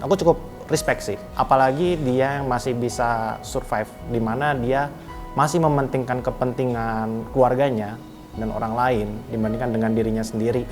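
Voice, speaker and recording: A man's voice: medium pitch, steady tone, moderate pace, over music. A man in his mid twenties giving earnest, formally phrased opinions in an interview. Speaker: business development officer being interviewed. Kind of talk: interview answers, reflective opinion.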